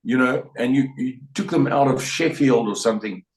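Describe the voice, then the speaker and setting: A man's voice: mid-range voice, moderate pace, distant mic. An older man with a South African accent, giving a blunt, rambling interview answer with many repeats and restarts. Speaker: interview guest. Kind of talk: opinionated interview talk. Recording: roomy sound, voice a bit off-mic.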